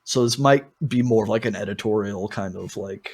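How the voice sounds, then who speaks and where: A man's voice: lowish voice, fairly quick, darkish tone, slightly rough. A man hosting a podcast in a casual, rambling and informal way, talking through music with frequent asides. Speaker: podcast host. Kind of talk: casual album discussion.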